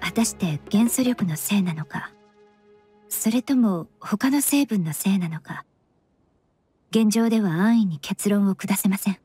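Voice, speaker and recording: A woman playing a composed, polite young perfumer in a Japanese game dub, formal, analytical and measured in manner. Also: medium pitch, expressive, mellow, breathy edge. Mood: clinical, measured. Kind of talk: Japanese anime game dubbing. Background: background music.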